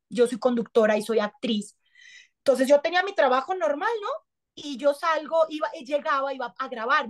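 A woman, an actress and TV host chatting casually in an interview on a video call, gesturing a lot as she talks. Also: a little high. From Colombia. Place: small indoor room. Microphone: webcam on a video call.

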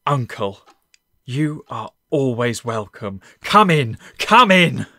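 A kindly, welcoming nephew in an audio drama. His bright, animated voice is hearty and very drawn out. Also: mid-range voice, slow, no room sound, studio quality, exaggerated voice. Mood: moved, welcoming.

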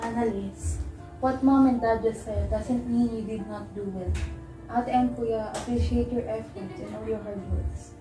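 A young woman plays a dutiful eldest sister who shields the younger ones, in a high voice. The amateur melodrama is picked up from a distance in an echoey living room. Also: fairly even intonation, moderate pace, close to an everyday voice. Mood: subdued. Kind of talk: amateur one-act melodrama acting. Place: living room, far camera mic. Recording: echoey room, distant mic, faint music.

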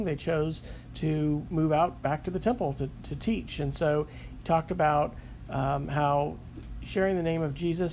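Gravelly man summarizing a sermon. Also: on the high side, slight background noise. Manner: calm, reflective, with frequent fillers. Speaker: sketchnote narrator. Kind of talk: reading scripture and summarizing a sermon.

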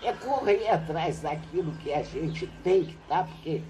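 An older woman, a retired teacher, recounting her school days in a relaxed interview on a home patio. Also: deep voice, unhurried, slight background noise. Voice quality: lively, animated storytelling with laughs. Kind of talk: reminiscing interview. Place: covered outdoor patio at a home.

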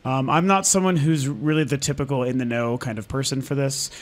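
A man's voice: mid-range voice, fairly even intonation, fairly quick. A man from Colorado who is losing his voice, talking casually at home to his channel's viewers with many ums and restarts. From Denver, Colorado, US. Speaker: gaming channel host. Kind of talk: casual talk to camera audience over gameplay footage.

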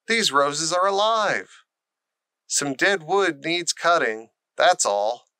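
A man's voice: on the high side, lively intonation, takes it slowly, bright, forceful tone, clear, resonant tone, dry recording, clean studio sound, full character voice. A man voicing a friendly, eager country boy who loves animals in a storybook reading. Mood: enthusiastic.

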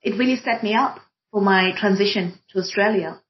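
A woman from Kenya, a diversity and inclusion practitioner speaking thoughtfully on a panel, pausing often with fillers. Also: medium pitch. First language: Swahili. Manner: thoughtful, many fillers and restarts. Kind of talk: reflective panel conversation. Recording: dull lo-fi audio.

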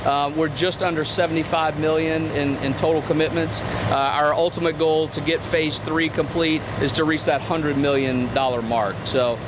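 A middle-aged man answering press questions outdoors, halting with many repeated words and fillers. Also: a little high, steady tone. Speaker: interim athletic director. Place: outdoors at a stadium construction site. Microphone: podium microphones on a stand. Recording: outdoor traffic noise behind.

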